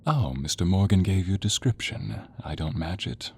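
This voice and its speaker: A man voicing a sadistic, theatrical sorcerer villain in an audio roleplay, silky and menacing, drawing out words and slipping into breathy whispers. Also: sits low, animated, normal speed, darkish tone, exaggerated voice. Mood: sly, hushed. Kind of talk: audio roleplay acting addressed to the listener.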